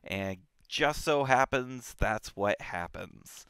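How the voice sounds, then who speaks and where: A man co-hosting a live book discussion stream, relaxed and blunt, with many fillers. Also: medium pitch, slow pace, heavy background noise. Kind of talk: casual live-stream chat.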